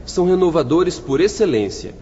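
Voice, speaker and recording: An actor playing a courteous, idealistic young university inspector in formal audio drama style. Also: male voice, a little high, very animated, normal speed, dark tone. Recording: long reverberant hall, dull lo-fi, faint noise.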